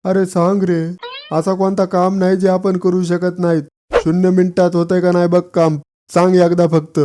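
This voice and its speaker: An actor playing a cocky village friend in a comedy cartoon, brash, bragging and teasing. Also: male voice, high voice, completely flat delivery, moderate pace, dark tone, a little hiss or hum, natural voice. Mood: boastful. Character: cocky, boastful village friend who plays the fixer. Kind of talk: exaggerated comedy cartoon dialogue.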